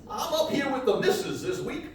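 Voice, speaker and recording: A man's voice: very high-pitched, very animated, moderate pace, slight background noise. A man playing a folksy, rambling country travel reporter with a hesitant drawl in a live comedy radio play. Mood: folksy enthusiasm. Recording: echoey live hall, distant mic.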